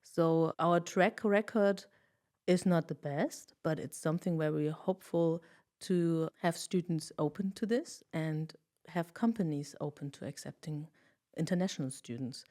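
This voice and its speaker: Podcast host, woman, lower voice, dry humor. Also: moderate pace, dark tone. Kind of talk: casual interview chat.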